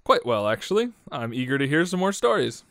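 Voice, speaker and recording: A man's voice: mid-range voice, very animated, a little fast, creaky, a bit bright, dry recording. A man hosting and introducing a radio drama in a formal, genial way. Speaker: host. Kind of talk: scripted radio show intro.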